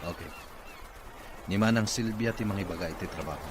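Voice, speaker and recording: A man's voice: on the low side, brisk, background noise, lightly acted. A man playing an irritable, suspicious rich young boss in a radio drama, curt and demanding.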